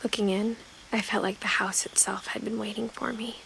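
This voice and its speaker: A young woman voicing a reflective seventeen-year-old girl, narrating a story game in a soft, measured, wistful way. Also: medium pitch, little pitch movement, vocal fry, background noise, lightly acted. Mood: wistful, hushed. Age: young adult. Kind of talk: scripted first-person game narration. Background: prominent music.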